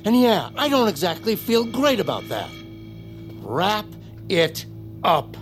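Impatient army corporal in audio drama, clipped n threatening, really animated pitch, bit of music behind. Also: male voice, slow pace, full character voice. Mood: angry, affronted. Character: menacing, impatient army corporal, sarcastic and commanding.

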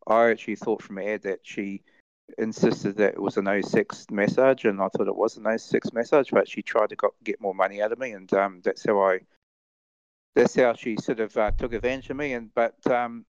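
A man chatting as a guest in a halting, repetitive, rambling way, over dull lo-fi audio. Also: lowish voice, fairly even intonation, clean tone, no room sound. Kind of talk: casual chat, anecdote telling.

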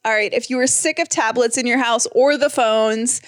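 A woman's voice: a little high, fairly even intonation, normal speed, no echo, studio quality. A pregnant woman co-hosting a podcast, chatting agreeably and reading an upbeat ad. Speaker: podcast co-host. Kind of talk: casual chat and ad read.

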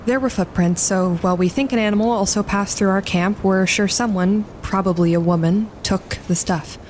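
An actress playing an earnest, composed wilderness photographer on expedition, matter-of-fact and measured, in a naturalistic audio drama. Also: female voice, a little mellow, natural voice. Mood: concerned. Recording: clear outdoor ambience and rumble.

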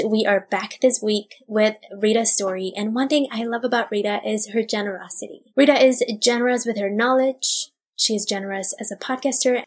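A woman host opens her bilingual podcast episode with an upbeat scripted introduction. Her voice has a soft, husky grain, and she slips easily between English and Spanish phrases as she reads. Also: medium pitch. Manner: upbeat, even reading with Spanish phrases mixed in.

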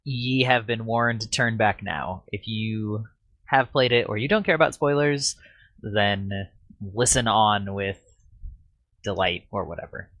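A man with a slightly raspy voice hosting a casual podcast, rambling and poking fun at himself. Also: on the low side, measured pace, fairly bright tone, no echo, highs cut off. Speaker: podcast host.

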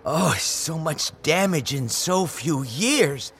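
A man's voice: a little high, lively intonation, slow, a bit bright, a little hiss or hum, heavily acted. A man playing an anxious, world-weary talking goat in an audio fantasy drama, with hesitant, theatrical delivery. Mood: rueful.

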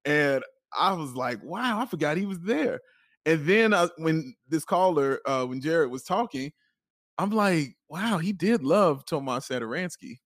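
Male co-host, fairly high voice, playful and emphatic sports banter, close dry sound. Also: animated, unhurried, a bit bright, no room sound. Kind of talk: casual banter.

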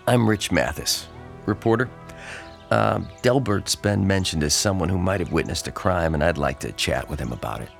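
A man's voice: low voice, lively intonation, normal speed, creaky, soft background music. A man playing a dogged, wry newspaper reporter in an audio drama. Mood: probing, polite.

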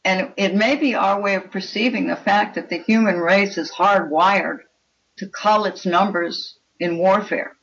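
A woman's voice: medium pitch, measured pace, fairly bright tone. A woman's voice comes through slightly dull, lo-fi audio. She speaks as an author being interviewed, explaining at length in a learned, discursive way. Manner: erudite, explanatory. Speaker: author, podcast guest.

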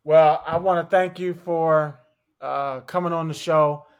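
A man hosting a tennis podcast, talking in a relaxed, conversational way. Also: on the high side, unhurried, muffled recording. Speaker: podcast host. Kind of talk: podcast interview.

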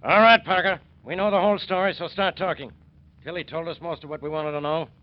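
A hard-nosed American police investigator in a vintage radio crime drama, played by a man. His fairly high male voice is blunt and clipped, with sardonic satisfaction. The recording has a dull, old sound. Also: steady tone, fast, slight background noise, natural voice. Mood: smug, stern.

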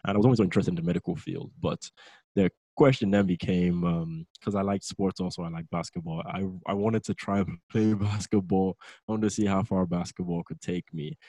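A young man from Nigeria, a newly qualified physiotherapist, talking as a guest in a relaxed, rambling way and laughing often. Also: sits low, a little fast, no echo. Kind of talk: casual interview chat.

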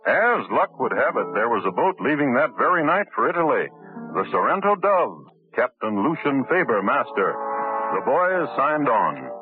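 A male narrator telling a story in a measured, storytelling manner for a radio drama. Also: mid-range voice, sing-song. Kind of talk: scripted narration. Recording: tinny old radio broadcast with music.

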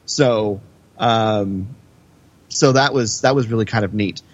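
A man co-hosting a podcast in a casual, halting way, repeating phrases for emphasis. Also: on the low side, dull audio. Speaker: podcast co-host.

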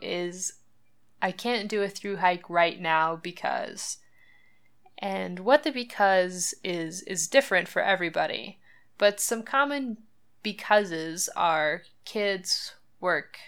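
Woman podcast host, low and smooth, earnest chatty read, light laughs. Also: fairly bright tone. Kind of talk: scripted podcast monologue.

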